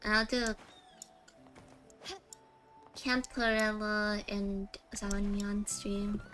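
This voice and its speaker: A woman's voice: medium pitch, level tone, very slow, fairly bright tone, faint music behind, rough recording. A woman livestreaming a game with casual chat, gushing and giggly as she reacts aloud. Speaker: streamer.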